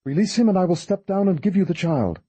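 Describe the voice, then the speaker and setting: A male narrator voicing a calm, determined woman in an audiobook, speaking softly and steadily. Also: sits high, quick pace, breathy edge, dry recording, voice pushed up. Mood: resolute. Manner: soft, steady and resolute.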